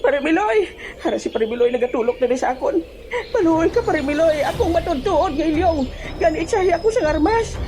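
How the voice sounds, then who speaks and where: A woman's voice: very high-pitched, animated, moderate pace, background noise. A woman acting a frantic old man in a comic audio drama, exclaiming and talking through each action aloud. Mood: pleading.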